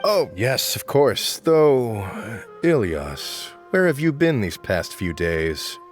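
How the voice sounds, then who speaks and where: An actor playing a fussy, dutiful office supervisor in a video game, formal, polite and mildly stern. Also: male voice, mid-range voice, very animated, slow. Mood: deferential. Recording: game music behind.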